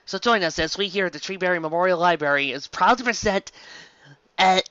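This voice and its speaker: A woman hosting and narrating a fan story, in an animated style. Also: lowish voice, a little fast, no room sound, muffled recording. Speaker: host and story reader.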